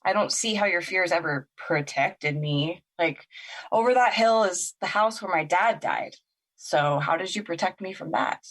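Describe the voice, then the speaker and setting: A woman's voice: on the low side, measured pace, a bit bright. A woman playing a bitter, sarcastic young woman in a naturalistic audio drama, exasperated in manner. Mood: hurt, challenging. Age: young adult.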